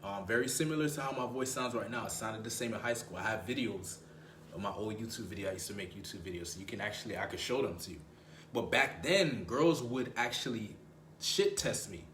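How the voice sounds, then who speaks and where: A young man with a low voice, giving dating advice in a casual, slangy way and often repeating himself for emphasis. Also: animated, fairly quick, a bit bright, reverberant, a little hiss or hum. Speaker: dating coach.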